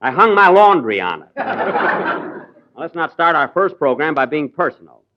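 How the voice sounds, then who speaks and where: A man's voice: fairly high pitch, very animated, measured pace. Wry man hosting old radio comedy. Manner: wry, indignant comic timing. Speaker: comedian host. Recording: dull old radio broadcast recording. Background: studio audience laughter.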